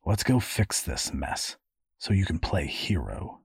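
A man's voice: deep voice, sing-song, takes it slowly, creaky, breathy edge, no echo, full character voice. A man voicing a weary, sceptical father in a story, with a dry, understated manner. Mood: weary, sardonic.

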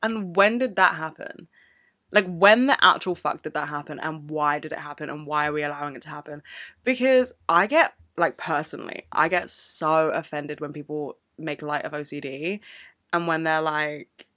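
A woman's voice: low-pitched, moderate pace, pushed and bright, dry recording. Candid woman podcaster, dry mic. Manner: candid, emotional, swears freely. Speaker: podcast host. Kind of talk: unscripted solo podcast chat. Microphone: podcast microphone.

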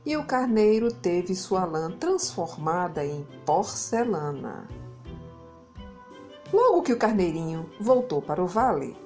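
A Brazilian woman narrating a children's story in an expressive, dramatic way, leaning on key words. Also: lowish voice, takes it slowly, soft background music. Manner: dramatic, emphasizes and repeats words. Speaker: children's storyteller, podcast host.

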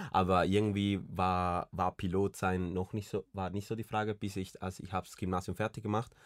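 Tiefe Stimme, junger Mann aus Kolumbien, trockener Klang, lockeres Plaudern mit vielen Füllwörtern. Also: male voice, sits low, steady tone, moderate pace, no echo, clean studio sound. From Colombia. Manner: rambling, many restarts and fillers. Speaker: podcast co-host. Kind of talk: casual chat.

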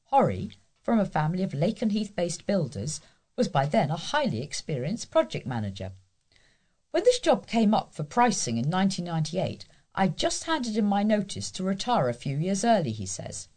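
A woman's voice: lowish voice, darkish tone. A woman volunteer reading a local news feature aloud. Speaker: volunteer newspaper reader.

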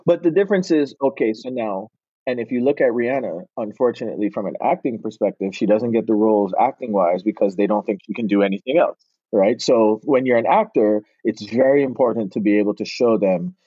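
A middle-aged man from Barbados, a film director and actor, telling animated stories in a casual interview and slipping into relaxed island grammar. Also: lowish voice, fairly quick, breathy edge, dry recording, dull audio.

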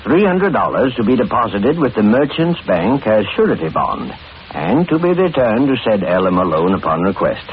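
An actor playing a fussy, falsely genial employer with sinister intent, dithering and repeating himself with unctuous chuckles, in 1940s radio horror style. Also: male voice, lowish voice, very animated, moderate pace. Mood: fussy, affable. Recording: noisy, muffled old radio recording.

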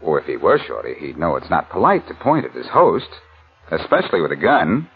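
Through a tinny old radio recording comes a suave sleuth, low-voiced and slightly husky. He swings his pitch freely as he delivers glib, sardonic wisecracks, never rattled. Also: sing-song, fairly quick, full character voice. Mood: cool, wry. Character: suave, wisecracking male amateur sleuth, cool and teasing. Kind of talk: 1940s radio detective drama acting.

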